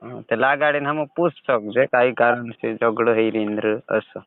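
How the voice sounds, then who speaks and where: A man's voice: mid-range voice, steady tone, normal speed, slight background noise. A man in a casual phone conversation, giving brief replies and short questions, on a narrowband call recording. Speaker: caller who records for his channel.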